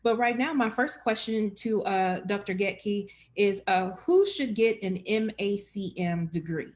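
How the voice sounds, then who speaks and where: A woman's voice: mid-range voice, a little slow, a little mellow. A woman hosting a live-streamed information session as enrollment director, friendly and chatty with many fillers.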